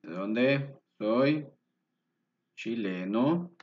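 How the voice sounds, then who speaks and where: A man's voice: mid-range voice, animated, very slow. A man teaching a lesson, thinking aloud over videos and correcting himself as he goes. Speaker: Spanish teacher. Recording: dull lo-fi voice with faint music.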